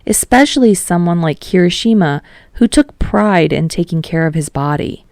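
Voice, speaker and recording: A woman narrates a story in a scripted audiobook style. Her voice is on the low side with noticeable vocal fry. The recording is close and dry, without room sound. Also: lively intonation, moderate pace, a little mellow, dry recording.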